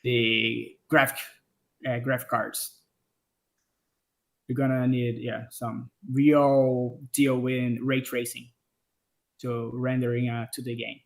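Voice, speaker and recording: Brazilian-accented developer with halting speech. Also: male voice, medium pitch, little pitch movement, creaky. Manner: hesitant, restarts often, searching for words. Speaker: game developer. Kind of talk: casual livestream Q&A. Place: home office. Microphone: video call.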